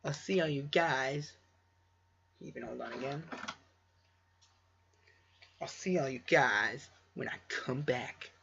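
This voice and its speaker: A man's voice: mid-range voice, slow pace, gravelly, pauses often, bright, forceful tone, a little hiss or hum. A man reading a story aloud casually for a video, stumbling over words and breaking off into offhand asides. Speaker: amateur fan fiction reader and video creator. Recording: dull lo-fi home recording.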